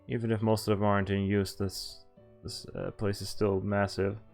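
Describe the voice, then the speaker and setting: Guy reading game dialogue out loud, kinda low, wry and chatty, music playing behind him. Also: flat delivery, normal speed, gravelly. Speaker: streamer. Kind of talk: casual game walkthrough, reading dialogue aloud and commenting.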